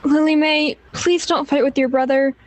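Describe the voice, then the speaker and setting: A woman playing a hurried, pleading working mother in an amateur radio play. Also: high voice, steady tone, no echo, lightly acted.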